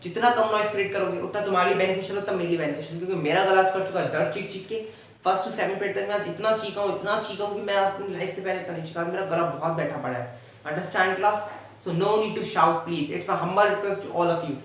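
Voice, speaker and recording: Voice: medium pitch, fairly quick, a bit bright, recorded from a distance, lightly acted. Performer doing a worn-out ranting male teacher. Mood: exasperated. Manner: exasperated ranting, Hindi-English mix. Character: fed-up male schoolteacher, tired. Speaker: teacher in a classroom skit. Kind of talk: comedy sketch acting. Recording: echoey room, voice off-mic.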